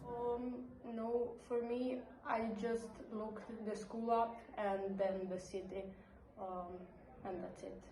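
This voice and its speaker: A young woman from Slovenia gives brief, easygoing interview answers. She speaks slowly. The recording has a roomy echo. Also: fairly high pitch, level tone, noisy background. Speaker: master's student interviewee.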